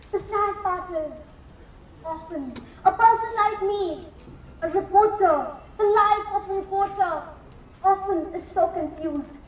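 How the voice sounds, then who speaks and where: A woman performs a theatrical soliloquy in a very high voice. Her delivery is emphatic, with deliberate repetition. The sound is echoey and picked up from a distance, with faint noise. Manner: theatrical, emphatic, repeats phrases with pauses. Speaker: contest performer. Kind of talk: dramatic soliloquy on stage.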